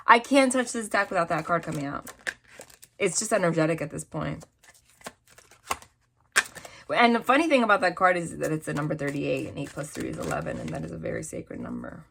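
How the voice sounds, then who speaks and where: A woman's voice: low-pitched, huge pitch swings, brisk, vocal fry, pauses often, background noise. A woman with a New York accent, chatting casually and rambling through a tarot reading at a table, with many restarts and asides. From New York / New Jersey area. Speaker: tarot reader. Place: indoors at a table, hands over cards.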